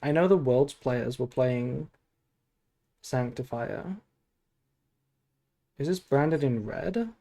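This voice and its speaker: Sleepy, mellow-voiced male game streamer. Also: mid-range voice, lively intonation, slow pace, gravelly, long pauses. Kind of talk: casual gameplay stream chat and deck analysis.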